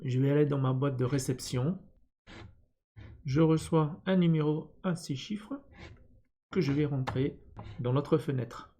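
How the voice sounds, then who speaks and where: Mellow-voiced man giving a hesitant tutorial. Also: a little high, a little slow, pauses often. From born in Vietnam. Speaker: tutorial presenter, instructor. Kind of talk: unscripted step-by-step screen tutorial. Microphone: computer screen-recording voice-over.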